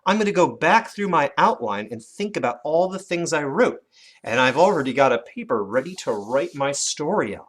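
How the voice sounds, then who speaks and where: A teacher giving an instructional lesson for children, with animated, expressive storytelling emphasis. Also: medium pitch, moderate pace. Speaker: elementary teacher.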